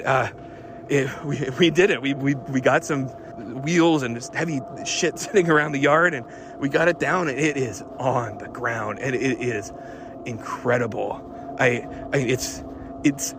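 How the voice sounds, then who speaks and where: A man playing an obsessed, unravelling car builder who stammers haltingly through a confessional late-night audio diary. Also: mid-range voice, close to an everyday voice.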